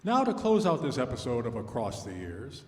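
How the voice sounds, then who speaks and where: A man's voice: medium pitch, wildly swooping pitch, moderate pace, a little hiss or hum. A man playing a showman host of an old-time radio music program, with a theatrical announcer delivery in a live hall. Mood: showy, upbeat. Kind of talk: 1900s-style radio announcing. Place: large reverberant hall, live stage radio show. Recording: echoey hall, live stage performance.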